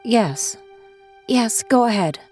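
A woman's voice: on the high side, lively intonation, slow pace. A woman playing a firm, curt nurse minding a patient in a radio play. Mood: firm, humoring. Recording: loud music behind the voice.

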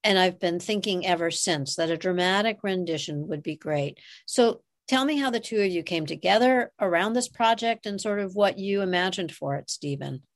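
Woman interviewer, halting and reflective. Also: fairly low pitch. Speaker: podcast interviewer. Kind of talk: conversational interview.

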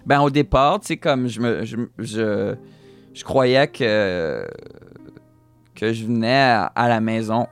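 A man's voice: medium pitch, slow, clean tone, faint music behind. A young man with a Fransaskois French accent shaped by English, talking through an interview in a hesitant way, with many restarts and stray English words. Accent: Fransaskois French, influenced by English. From Saskatchewan, Canada. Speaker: guest musician. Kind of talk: casual interview chat.

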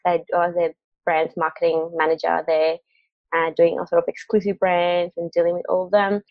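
A woman's voice: fairly low pitch, level tone, normal speed, dry recording. A young woman from Mexico, a guest on an interview, chatting casually with frequent laughs and fillers. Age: young adult. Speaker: guest, marketing agency founder. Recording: dry, dull lo-fi call audio.

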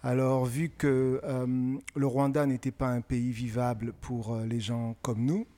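Middle-aged man, from francophone Africa, measured and reflective, mid-range voice, many pauses. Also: mid-range voice, level tone, warm tone. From francophone West and Central Africa. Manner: measured, thoughtful, pausing often with hesitations. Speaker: guest author. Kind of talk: conversational interview answers.

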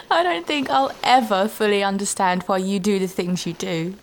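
An earnest young woman on her first adventure, played by an actress, talks at a medium pitch. She sounds natural and thoughtful, in fantasy audio drama style, with some ambient noise behind her. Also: a little slow, close to an everyday voice. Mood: light, friendly. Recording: noticeable ambient background noise.

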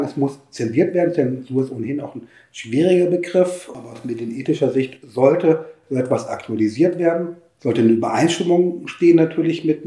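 A man speaks as an interviewed expert in a soft, dark-toned voice. His wording is formal and academic, with hesitant pauses as he explains. The room around him echoes noticeably. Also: mid-range voice. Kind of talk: interview answers, considered explanation.

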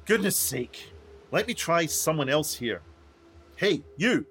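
A man's voice: a little high, expressive, slow pace, fairly bright tone, breathy edge, heavily acted. A man playing an exasperated, grumbling, self-important documentary maker in a radio play.

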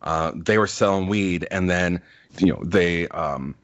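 A man's voice: sits low, unhurried, fairly bright tone, dull audio. A man in his forties co-hosting a podcast, talking in an earnest, reflective way with plenty of fillers. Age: 40s. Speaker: podcast co-host. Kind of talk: casual podcast chat.

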